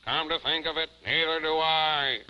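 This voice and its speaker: A man voicing a grumpy, unflappable robot chief in a 1950s television puppet show, with a deadpan manner. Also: a little high, moderate pace, a little hiss or hum, close to an everyday voice. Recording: muffled 1950s television soundtrack.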